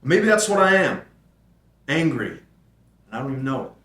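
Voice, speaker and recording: A man's voice: on the high side, lively intonation, normal speed, fairly bright tone. A man playing a troubled, self-doubting and anxious character in a screenplay table read. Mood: bitter. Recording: roomy echoing table-read recording.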